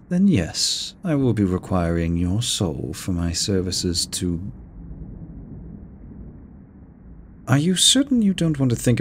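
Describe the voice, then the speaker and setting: A man's voice: on the low side, a little hiss or hum. A man voicing a seductive, courteous immortal incubus demon in a roleplay audio drama, speaking to the listener in a silky, theatrical way. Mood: coaxing, intrigued. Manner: slow, silky, theatrical, courteous with drawn-out words. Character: seductive, teasing male incubus demon, immortal deal-maker.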